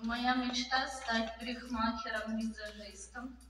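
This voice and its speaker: A woman from Ukraine introducing herself on a theatre stage, hesitant with pauses and fillers, recorded far off in an echoey hall. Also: on the high side, steady tone, fairly bright tone, soft background music.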